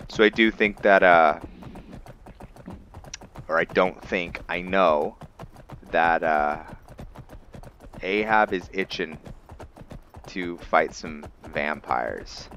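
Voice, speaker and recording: A man giving casual let's-play commentary and roleplay narration in a halting, musing way, often correcting himself. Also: sits low, fairly bright tone, dull audio, slight background noise. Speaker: host of a roleplay gaming series.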